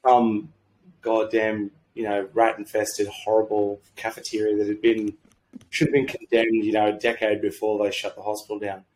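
A slightly croaky Australian man talking in a rambling, informal, mildly sweary way as a podcast guest. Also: lowish voice, completely flat delivery, moderate pace, a little mellow, slight background noise, rough recording. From Sydney, Australia. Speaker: podcast guest, medical doctor and entrepreneur. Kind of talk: casual conversational interview.

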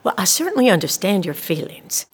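Prim, husky, officious female investigator. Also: mid-range voice, very animated. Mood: coolly advising. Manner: formal, measured, officious, hedging. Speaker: actor in radio play. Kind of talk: naturalistic audio drama acting.

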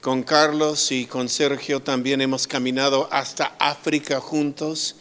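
A middle-aged man preaching as a guest speaker, slow and full of pauses and restarts, with humorous asides. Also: mid-range voice, level tone, a bit bright. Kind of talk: sermon.

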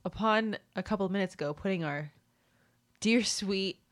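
A woman's voice: medium pitch, moderate pace. Stuffy-nosed woman podcast co-host. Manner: rambling, self-mocking, laughs between lines. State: stuffy nose. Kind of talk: casual chat.

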